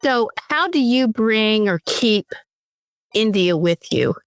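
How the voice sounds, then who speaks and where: A woman's voice: fairly high pitch, animated, takes it slowly, no room sound, studio quality. A woman hosting a casual interview, friendly and rambling, often restarting her sentences. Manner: friendly, rambling, self-correcting. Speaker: podcast host.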